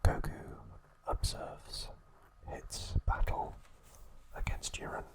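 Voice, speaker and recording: A man whispers softly and breathily, as an ASMR host would. He takes his recap very slowly, with gentle, halting phrasing that stays hushed throughout.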